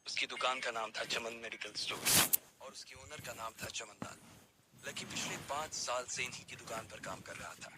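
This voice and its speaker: A man's voice: medium pitch, normal speed, very noisy. A man narrating an audio story in a dramatic storytelling style.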